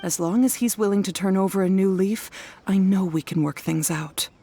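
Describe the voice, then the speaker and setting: A woman playing a worried, weary wife and mother in a video game, explaining earnestly and in a measured way. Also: mid-range voice, warm tone, slight background noise, natural voice.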